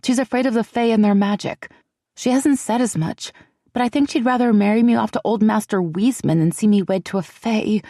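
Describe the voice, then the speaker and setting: A woman's voice: a little high, a little fast, dark tone, no echo, clean studio sound, voice pushed up, close to an everyday voice. A woman voicing an earnest young woman who speaks firmly and reassuringly. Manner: earnest and firm.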